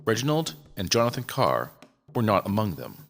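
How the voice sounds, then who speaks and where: A man's voice: lowish voice, wildly swooping pitch, moderate pace, vocal fry, a bit bright, soft background music. A man reading a scripted true-crime story as a podcast narrator.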